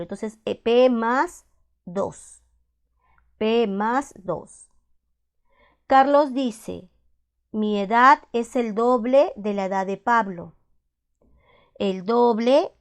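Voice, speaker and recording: A woman math teacher, patiently explaining algebra step by step, repeating each point and asking checking questions. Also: fairly high pitch. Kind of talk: slow step-by-step classroom explanation.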